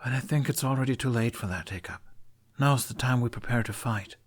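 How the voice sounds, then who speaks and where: A man's voice: medium pitch, a little fast, voice pushed up, natural voice. Man voicing a blunt young warrior woman. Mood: grave, loyal.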